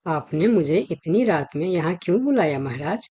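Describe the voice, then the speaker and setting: A woman's voice: low-pitched, expressive, moderate pace, mellow, husky. A woman voicing a small, polite talking forest animal in a children's cartoon. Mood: anxious, deferential. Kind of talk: Hindi children's cartoon dialogue.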